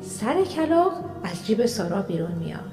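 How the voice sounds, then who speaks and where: A woman narrating a children's radio play in an expressive storybook style. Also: mid-range voice, loud music behind the voice. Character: storyteller of a children's fantasy tale.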